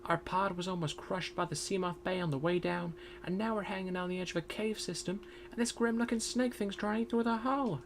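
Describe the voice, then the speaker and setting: A man's voice: sits high, fairly even intonation, a little fast, hardly any pauses, a little hiss or hum. An actor playing a stranded male survivor pleading for rescue over a game radio transmission. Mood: urgent.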